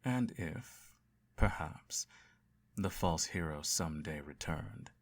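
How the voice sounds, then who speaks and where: A man playing a self-righteous evil emperor and doting father, telling a bedtime story in a measured, grandiose way in an audio roleplay. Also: extremely low pitch, animated, heavily acted. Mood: solemn storytelling.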